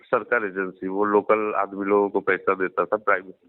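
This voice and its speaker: Male collections agent, fairly low voice, respectful and halting, slightly muffled call recording. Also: little pitch movement, no room sound. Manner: deferential, addresses the other as sir. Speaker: loan recovery agent. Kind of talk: phone conversation. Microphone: phone line. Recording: dry recorded phone call, narrow band.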